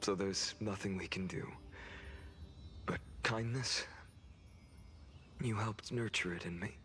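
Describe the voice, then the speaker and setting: An actor playing a noble, gentle young lord in a video game, formal, measured and earnest. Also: male voice, lowish voice. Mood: gentle, warm. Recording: music behind the voice.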